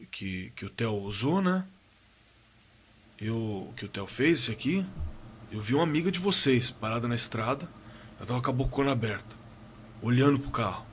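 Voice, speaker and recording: A man with a Brazilian accent playing a shaken young man recounting a frightening night in a halting, colloquial way, in a horror audio drama. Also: medium pitch, a bit bright, slightly rough. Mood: uneasy. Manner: halting, repeating words, colloquial. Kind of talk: dramatized first-person horror story, told conversationally.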